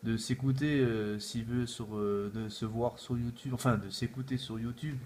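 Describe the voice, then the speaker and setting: A man's voice: medium pitch, steady tone, moderate pace, a little mellow. A man chatting informally in a casual radio contact, with repeated filler tags. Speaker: amateur CB radio operator. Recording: faint background noise.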